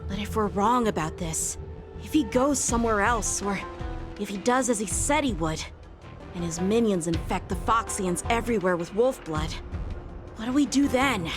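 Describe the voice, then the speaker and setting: A woman's voice: mid-range voice, animated, moderate pace, close to an everyday voice. A woman voicing an earnest, dutiful young lieutenant who speaks formally and eagerly. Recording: studio game dub over loud music.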